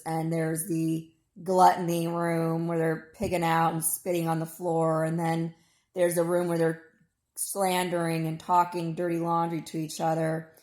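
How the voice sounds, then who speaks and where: A woman of thirty-five with a hoarse voice, giving a rambling, opinionated and informal personal monologue as a vlogger. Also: lowish voice, darkish tone, roomy sound.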